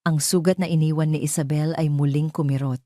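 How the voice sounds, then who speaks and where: A woman reading a scripted romance drama as its narrator, in a measured, solemn storytelling manner. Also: low-pitched, fairly even intonation, warm tone, dry recording, clean studio sound.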